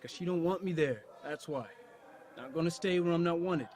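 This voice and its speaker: An actor playing a defensive young football player, sarcastic and bristling in an audio drama. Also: male voice, a little high, normal speed, darkish tone, natural voice. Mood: sullen.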